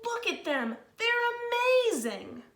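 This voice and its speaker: A woman voicing a silly, eager male slug from a picture book, full of drawn-out wonder. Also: very high-pitched, big pitch swings, fairly bright tone, a little hiss or hum, voice pushed up, exaggerated voice. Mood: awestruck. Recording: roomy, echoey sound.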